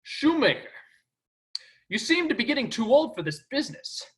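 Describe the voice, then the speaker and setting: A man's voice: very high-pitched, big pitch swings, normal speed. A man playing a vain, dashing hero prince who boasts in a pompous way. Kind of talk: stage play acting.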